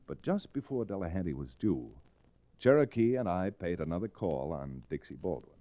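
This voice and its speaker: Frontier lawyer hero: lowish voice, swinging intonation, brisk, wry, confident, vintage radio Western style. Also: male voice, big pitch swings, mellow. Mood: narrating. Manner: brisk, emphatic, sardonic. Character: wry, confident young cowboy lawyer, quick to fight. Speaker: lead actor and first-person narrator. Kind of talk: old-time radio Western acting.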